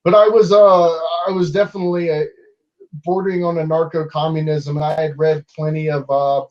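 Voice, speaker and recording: A man's voice: on the high side, level tone, a little fast, muffled recording. A man in his twenties debating online in a casual way, with many restarts and fillers as he argues. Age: 20s.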